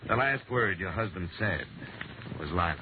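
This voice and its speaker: An actor playing a cool, hard-boiled insurance investigator with dry, clipped delivery in a 1950s radio detective show. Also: male voice, deep voice, animated, normal speed, background noise. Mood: cool, polite. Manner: dry, clipped, matter-of-fact. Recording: tinny, band-limited old radio recording.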